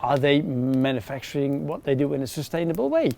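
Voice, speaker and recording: A middle-aged man with a Dutch accent, chatting casually to camera outdoors by a campfire, relaxed with frequent ums. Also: mid-range voice, fairly quick, creaky. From Netherlands. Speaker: outdoor gear reviewer.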